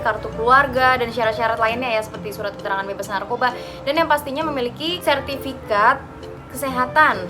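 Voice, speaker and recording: A woman with an Indonesian accent, explaining things in an informal, instructive vlog style as if talking to friends. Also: on the high side. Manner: conversational, instructive, addressing listeners as friends.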